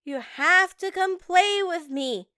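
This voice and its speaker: Very high-pitched, bubbly little girl. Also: lively intonation, slow, sharp tone, no echo, clean studio sound, heavily acted. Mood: cheerful. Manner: childish, dramatic, bubbly. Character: excitable chatty little girl, adoring daughter pleading for playtime. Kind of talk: anime audio roleplay acting.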